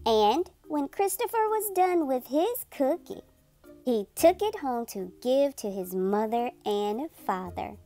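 A woman reading a picture book aloud to children as a storytelling host, with expressive, drawn-out playful emphasis. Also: high-pitched, faint music behind.

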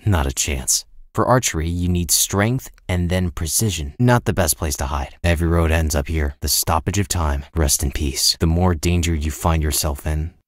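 A cool, world-weary American mercenary for a video game. The voice is very low and recorded dry and close, with no room around it. He speaks in short, understated, deadpan remarks, sounding cynical and unimpressed. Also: normal speed, hardly any pauses, dry recording.

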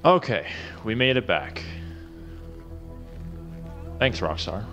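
A man's voice: on the low side, big pitch swings, takes it slowly, muffled recording. A man chatting casually as he plays a co-op heist game with his team. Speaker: gamer playing a co-op heist. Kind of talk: casual gameplay chat. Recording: game music behind voice. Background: video game music.

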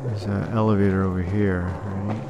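A man casually commenting while playing a game, musing and joking in slow asides. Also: on the low side, level tone, lo-fi sound, very noisy. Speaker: game streamer. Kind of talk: casual let's-play commentary. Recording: voice over game music.

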